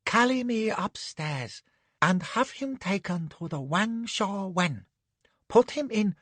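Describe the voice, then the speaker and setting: A man voicing a drowsy, capricious young imperial concubine who purrs her words slowly. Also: high-pitched, animated, a bit bright, slightly rough, no echo, pitched above the performer's own voice, heavily acted. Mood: languid.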